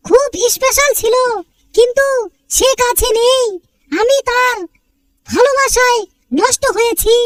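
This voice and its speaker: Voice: very high-pitched, a bit bright, no room sound, studio quality. A performer voicing a small cartoon character who recites sentimental love lines in a mournful, earnest way.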